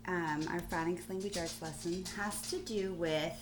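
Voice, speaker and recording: A woman schoolteacher giving a phonics lesson to young pupils on video, explaining deliberately and spelling things out. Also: on the low side, steady tone. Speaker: primary school teacher.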